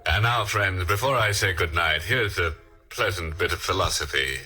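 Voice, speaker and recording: A man hosting a 1940s radio mystery with wry, theatrical, mock-sympathetic dark humor. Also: sits low, moderate pace. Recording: old radio recording with organ music.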